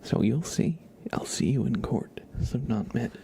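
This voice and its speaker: A man hosting an ASMR livestream, chatting playfully with viewers in a soft, whispery, breathy voice with mock-serious teasing. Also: mid-range voice, lively intonation, unhurried, a little hiss or hum.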